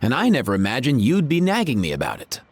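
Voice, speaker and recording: A man's voice: medium pitch, huge pitch swings, fast, husky, no room sound, heavily acted. An actor playing a laid-back, wry young man with a casual slangy manner in a video game. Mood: teasing. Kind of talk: video game cutscene voice acting.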